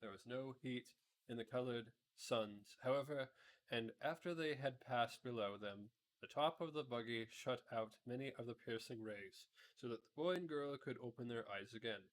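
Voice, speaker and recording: Man haltingly reading a children's storybook. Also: medium pitch, low-quality recording. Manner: stumbles over words and corrects himself. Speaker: amateur storybook reader, streamer. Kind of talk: halting read-aloud narration of a children's fantasy book.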